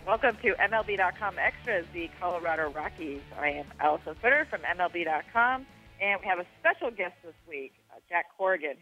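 A woman's voice: fairly low pitch, fairly bright tone, no room sound. A female sports host talks in a conversational interview style, putting questions to a guest. The sound is dry and narrow, as if carried over a phone.

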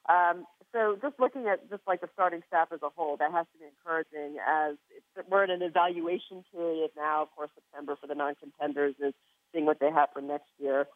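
A woman's voice: low-pitched, fairly even intonation, a little fast, no room sound. An American woman hosts a sports podcast in a conversational interview style. Her voice comes through a narrow, muffled line with a dry sound and no room around it. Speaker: podcast host, sports reporter.

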